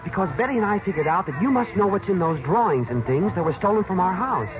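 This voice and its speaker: A man's voice: high voice, animated, quick pace. An actor playing an eager, inquisitive American teenage boy in an old radio serial, with boyish, slangy, questioning talk. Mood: insistent, curious. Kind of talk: 1930s-40s radio serial acting. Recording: muffled old radio recording with music.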